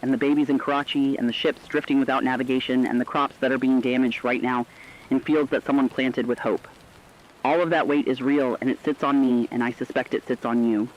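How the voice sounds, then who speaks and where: A man's voice: mid-range voice, close to an everyday voice. Solemn radio journalist played by a man, muffled sound. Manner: solemn, earnest, deliberate phrasing. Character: weary, compassionate male veteran radio journalist broadcasting through catastrophe. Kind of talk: scripted dramatic radio monologue.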